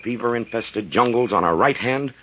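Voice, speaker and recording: A man's voice: medium pitch, moderate pace, fairly bright tone, natural voice. An actor playing a stern, impatient doctor and father, commanding and accusing, in 1940s radio adventure style. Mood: angry. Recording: dull, noisy vintage radio recording.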